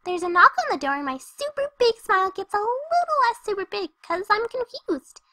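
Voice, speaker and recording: A hyperactive young female cartoon pony, extremely high and very animated. She chatters cheerfully and breezily to the listener, scatterbrained and party-loving, in a dry, close recording. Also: fairly quick, no echo, exaggerated voice. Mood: excited, chatty. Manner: bubbly, chatty, breezy narrating straight to the listener. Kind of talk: fan fiction audio reading in cartoon character.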